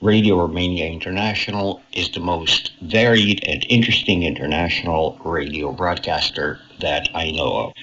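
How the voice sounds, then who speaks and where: A man's voice: low voice, normal speed, hardly any pauses, a bit bright. A man hosting a listener mailbag on an international radio broadcast, heard over shortwave. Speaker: radio host reading listener letters. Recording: noisy, muffled shortwave radio capture. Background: radio noise.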